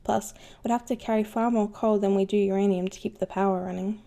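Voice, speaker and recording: A woman's voice: mid-range voice, fairly even intonation, a little fast, gravelly, warm tone, close to an everyday voice. A woman playing a knowledgeable, unflappable ship's engineer who explains things patiently in an educational cartoon. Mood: matter-of-fact. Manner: patient, instructive. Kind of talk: scripted educational explanation in animation.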